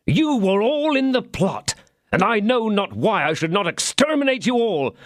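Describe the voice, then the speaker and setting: A man's voice: high-pitched, sing-song, moderate pace, no echo, clean studio sound, voice pushed up. A man voicing a stern, threatening young swordsman who demands answers. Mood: furious.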